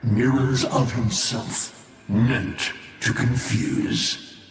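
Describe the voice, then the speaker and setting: A man's voice: medium pitch, steady tone, very slow. A male actor playing a bitter, ancient fallen warlord in a video game, mocking and grandiose, with theatrical, menacing delivery. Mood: grave, ominous. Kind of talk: dramatic video game voice acting. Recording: cavernous echoing reverb, faint ambient noise.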